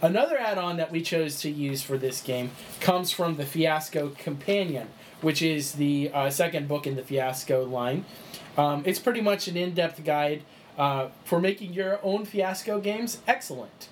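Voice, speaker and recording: A man's voice: on the high side, normal speed, a little hiss or hum. A man running a tabletop game session, explaining the rules in a chatty way with lots of fillers and restarts. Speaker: game master.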